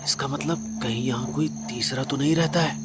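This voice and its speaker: Actor as uneasy young man, mid-pitched voice. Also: moderate pace, music in the background, natural voice. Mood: puzzled. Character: uneasy, wry young man caught out as guest. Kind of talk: audio drama acting.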